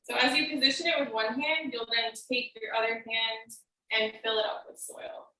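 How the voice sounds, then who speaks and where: A woman's voice: fairly high pitch, little pitch movement, moderate pace, sharp tone. A woman giving a prepared how-to speech as a student, explaining step by step in a casual way. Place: indoor room on a recorded video meeting. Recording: roomy, echoey, distant microphone.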